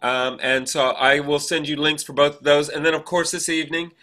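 Bright, higher-pitched man chatting casually. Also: fairly even intonation, brisk. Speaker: podcast co-host. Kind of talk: casual chat.

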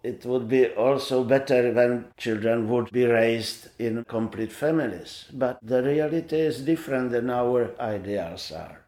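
A man, a sexologist answering interview questions in a measured way, listing his points one by one. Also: medium pitch, darkish tone. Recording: echoey room.

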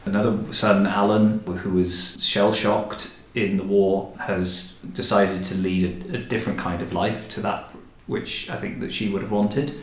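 A man hosting a podcast, describing things conversationally and in a measured way with pauses between short phrases. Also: low-pitched. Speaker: podcast host, historic house director. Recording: roomy, echoing indoor sound.

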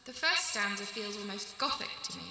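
Very bright, high-voiced woman in echoey hall. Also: lively intonation, brisk. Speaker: translator. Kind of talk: thoughtful literary conversation.

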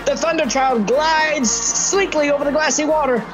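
A man playing an excitable, stammering, bumbling field reporter in a spoof of 1930s radio news, given to breathless melodrama. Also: very high voice, normal speed, music in the background. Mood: awed, frantic.

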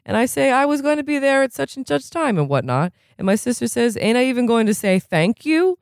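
A reader putting on a profane, rambling man in recovery who is quick to rage and keeps asking if you know what he's saying. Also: medium pitch, big pitch swings, gravelly, no room sound, clean studio sound, voice pushed up. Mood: heated. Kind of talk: reading a novel aloud, putting on a character.

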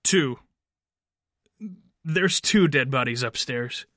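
A man's voice: mid-range voice, slow, pushed and bright, no echo, clean studio sound. A man playing a wary, defiant fugitive in handcuffs in an audio drama, speaking with cynical urgency. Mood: grim, cynical.

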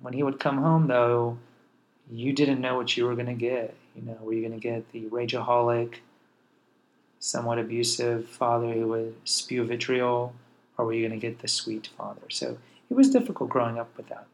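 A man's voice: medium pitch, fairly even intonation, normal speed, low-quality recording. A man in his forties, a podcast host, candidly telling his own life story in a wry, self-deprecating way. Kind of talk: personal storytelling monologue.

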